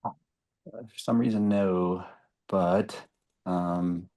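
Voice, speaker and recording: Deep-voiced man stumbling through questions. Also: fairly even intonation, extremely slow, mellow. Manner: hesitant, stammering. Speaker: workshop participant. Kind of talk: asking questions on a video call.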